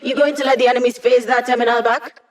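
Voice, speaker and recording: A woman's voice: a little high, steady tone, fast, lightly acted. Wry young female hacker, played by an actress. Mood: amused, snarky. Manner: playful, sardonic, casual. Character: wry, upbeat young female hacker and tech support ally. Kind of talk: video game voice lines.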